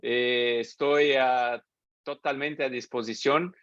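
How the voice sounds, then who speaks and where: A man's voice: medium pitch, fairly even intonation, a bit bright, no echo. A man from Brazil with a Brazilian Portuguese accent, a native Portuguese speaker, thanking his hosts as guest speaker at the end of a webinar, hesitating often and mixing in Portuguese. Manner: halting, many hesitations, mixes Portuguese into Spanish. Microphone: video call. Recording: dull, dry video call audio.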